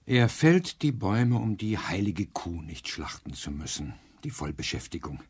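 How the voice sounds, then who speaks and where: Sardonic, very raspy older father. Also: low-pitched, very animated, normal speed, exaggerated voice. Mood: bitterly sardonic. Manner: sardonic, mocking. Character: cynical, sardonic father watching politics. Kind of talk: German radio play dialogue.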